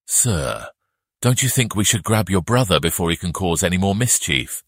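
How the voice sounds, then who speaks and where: A man plays a scheming right-hand man in a fantasy audiobook. He is deep-voiced and deferential, choosing hushed words with care, in a very dry recording. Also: lively intonation, normal speed, no room sound, full character voice. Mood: urgent, hushed. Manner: hushed, careful, respectful. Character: deferential, scheming male henchman to a guild lord. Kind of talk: fantasy audiobook character acting.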